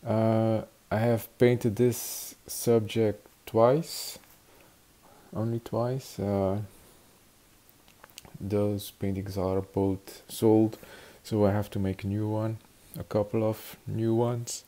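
Slow, hesitant Flemish-accented man. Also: lowish voice, flat delivery, mellow. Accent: Flemish Dutch. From Belgium (Flanders). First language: Dutch. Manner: halting, many "uh" fillers, searching for words. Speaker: watercolor artist teaching. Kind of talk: hesitant tutorial narration. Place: attic studio with wooden beams.

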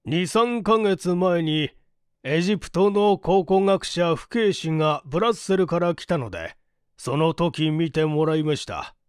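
Man reading as a slow-spoken, sheepish gentleman. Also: fairly high pitch, animated, clean tone, no room sound, clean studio sound. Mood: explaining. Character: mild, sheepish gentleman, father of a grown daughter. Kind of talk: audiobook character reading.

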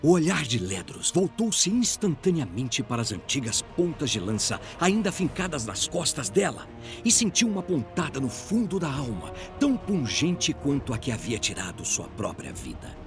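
A man's voice: mid-range voice, huge pitch swings, normal speed. A man with a Brazilian accent giving dramatic scripted narration of a fantasy audio drama. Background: music.

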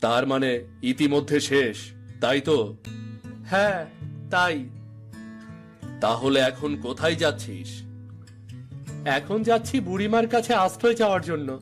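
A man acting high-voiced cartoon villager. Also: expressive, measured pace, pauses often. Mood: anxious. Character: several village men in a folk-tale cartoon, quarrelling and pleading. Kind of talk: dramatic cartoon dialogue. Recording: music behind the dialogue.

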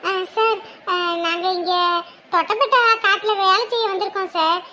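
A woman's voice: very high voice, normal speed, bright, forceful tone, slight background noise. An actress playing a practical, reassuring woman labourer who supports her co-worker, in drama dialogue. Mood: polite, hesitant. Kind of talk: film or serial drama dialogue.

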